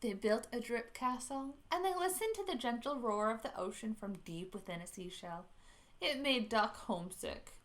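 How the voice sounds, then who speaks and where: A woman reading a picture book aloud to young children at library storytime, expressive, with dramatic asides. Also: fairly high pitch, moderate pace.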